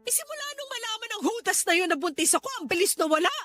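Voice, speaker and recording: A man's voice: extremely high pitch, lively intonation, quick pace, pushed and bright, soft background music, voice pushed up, heavily acted. A man voicing a hurt, protective young mother with pleading, accusing questions in a Tagalog radio drama.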